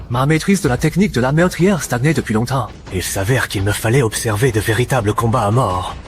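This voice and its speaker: A man playing an impulsive young martial arts student in a dubbed animated drama. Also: mid-range voice, natural voice. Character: impulsive young male prodigy, newcomer martial student, secretly formidable.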